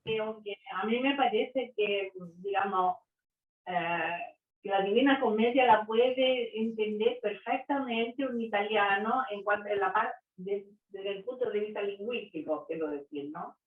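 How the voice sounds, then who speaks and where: A woman's voice: medium pitch, a little slow. A woman moderating a video-call talk, asking for questions and thanking everyone politely. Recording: tinny echoey call audio.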